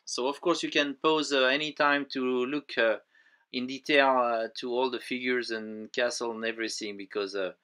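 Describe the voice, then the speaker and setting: Male vlogger, French accent, bright crisp tone, halting voice-over narration. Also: medium pitch, fairly even intonation. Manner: halting, many fillers and restarts. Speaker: toy collector vlogger. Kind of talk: voice-over narration of a museum visit. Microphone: voice-over, close mic.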